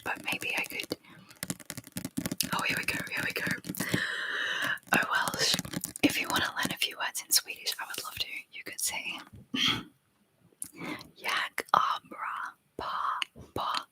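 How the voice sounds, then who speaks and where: A young woman whispering close to the microphone as an ASMR creator, reading chat in a giggly, unsure way and trying out foreign words. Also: poor audio.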